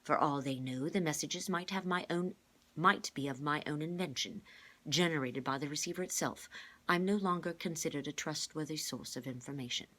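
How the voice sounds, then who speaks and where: A woman voicing a grave, gentle older male mentor with a measured, formal way of speaking, reading a children's storybook aloud. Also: low-pitched. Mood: resigned. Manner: measured, formal, careful wording.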